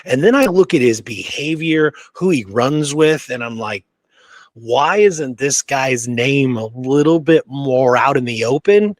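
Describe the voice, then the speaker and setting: A man's voice: medium pitch, lively intonation, measured pace, no room sound, studio quality. A man co-hosting a podcast in casual conversation, talking in an enthusiastic, rambling way. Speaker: podcast co-host. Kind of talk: casual chat.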